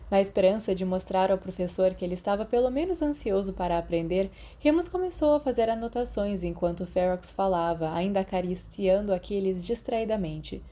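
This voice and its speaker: Very mellow Brazilian woman narrating fiction. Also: medium pitch. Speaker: audiobook narrator.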